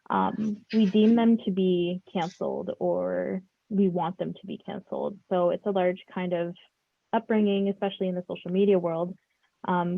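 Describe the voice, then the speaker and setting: Young woman podcast host, gravelly, kinda low, sounds like a phone line. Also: no echo. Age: young adult. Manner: relaxed, many fillers. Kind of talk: casual podcast chat.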